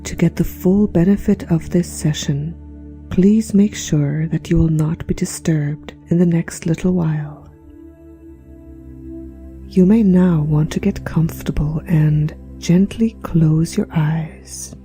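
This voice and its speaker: A woman narrating a guided meditation in a soft, breathy, half-whispered voice with a gentle, soothing, hypnotic manner. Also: low voice, fairly even intonation, takes it slowly. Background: relaxation music.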